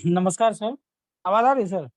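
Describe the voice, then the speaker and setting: A man from Bihar opens an online meeting with a formal welcome. His voice sits high and sounds dry and close, with no room around it. He strings long, winding sentences together. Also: moderate pace, dry recording. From Bihar, India. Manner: long winding sentences, effusive praise of the guest. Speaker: meeting host and promoter. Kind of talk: formal welcome speech on a video call. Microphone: video call microphone.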